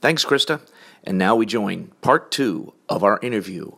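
A man's voice: lowish voice, expressive, moderate pace, creaky, fairly bright tone, slightly rough. A man hosting a podcast and introducing the show in a scripted way. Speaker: podcast host.

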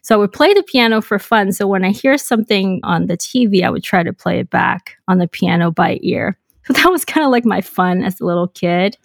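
Young woman from hong kong chatting as a podcast guest, bit of vocal fry, dry close sound. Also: medium pitch, expressive, fairly quick, dry recording. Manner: reflective, frequent "um" and "you know", light laughs. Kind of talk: casual interview storytelling.

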